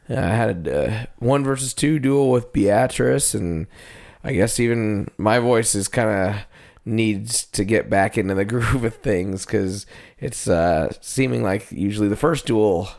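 A man's voice: fairly low pitch, unhurried, vocal fry. A man, a wrestling coach, chatting casually with a voice gone scratchy after coaching a duel. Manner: relaxed, rambling with fillers. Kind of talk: casual chat.

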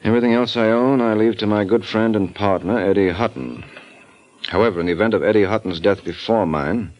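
An actor playing a suave private detective in 1940s radio style, trading dry, flirtatious wisecracks. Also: male voice, on the low side, fairly quick, slight background noise, natural voice. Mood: wry. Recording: dull old radio recording.

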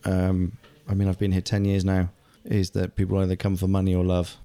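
Man in his forties, lowish voice, chatty guest full of ums and restarts. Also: completely flat delivery, brisk, mellow. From England. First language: German. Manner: chatty, hesitant, many fillers and restarts. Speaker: guest, actor. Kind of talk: casual conversation.

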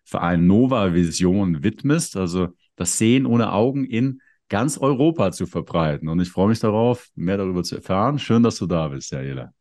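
A man's voice: deep voice, lively intonation, moderate pace, few pauses, darkish tone, dry recording, studio quality. A man hosting an interview podcast, introducing his guest and murmuring along as she talks. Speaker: podcast host.

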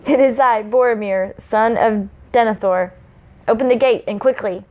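A woman's voice: on the high side, normal speed, close to an everyday voice. A woman acts out a grave male rider from a fantasy storybook, urgent and solemn. The speech is formal, and the recording is slightly dull and lo-fi. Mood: solemn, commanding.

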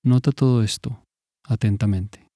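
A man leading a guided mindfulness meditation in a calm, measured, instructive manner. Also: lowish voice, dry recording, clean studio sound.

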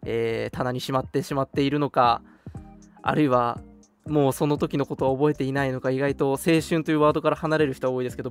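Young male radio host, casual and reflective with a teasing edge, music underneath. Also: medium pitch. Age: young adult. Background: background music.

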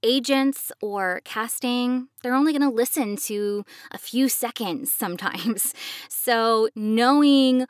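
A woman co-hosting an instructional podcast, explaining in a measured, emphatic, conversational way. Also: fairly high pitch, dry recording, studio quality. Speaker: podcast co-host, professional voice actor.

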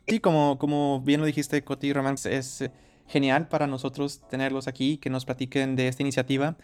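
A man's voice: medium pitch, little pitch movement, brisk, clear, resonant tone. A man hosting a casual interview show, introducing guests with frequent repeated words. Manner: warm-up talk with repeated words. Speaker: podcast host.

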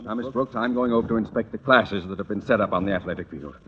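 An actor playing a pompous, irascible middle-aged school principal, blustery, sarcastic and easily flustered, in radio sitcom style. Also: male voice, lowish voice, quick pace, close to an everyday voice. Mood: exasperated. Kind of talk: 1950s radio sitcom acting. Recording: dull, hissy old radio recording.

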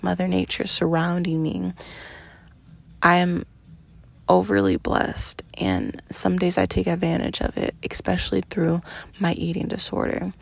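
A woman in her twenties hosting a podcast, talking in an earnest, reflective monologue with slow pauses and fillers. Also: fairly low pitch, gravelly, breathy edge. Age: 20s. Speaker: podcast host.

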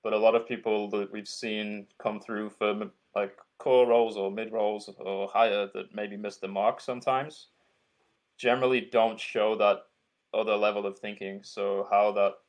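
Frank Yorkshire-accented male guest, slightly low pitch. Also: normal speed. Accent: Yorkshire English. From Yorkshire, England. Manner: frank, relaxed. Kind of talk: casual interview chat.

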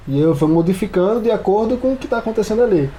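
A man's voice: sits high, lively intonation, fast, dark tone, a little hiss or hum. A man with a Brazilian Portuguese accent chatting casually as a podcast co-host and game master.

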